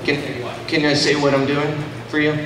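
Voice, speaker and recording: A man speaking as a voice actor on a convention panel, halting and jokey as he introduces himself. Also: fairly high pitch, monotone. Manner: halting, jokey, mock-offended. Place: large convention panel room. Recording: very echoey noisy hall, distant. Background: room noise.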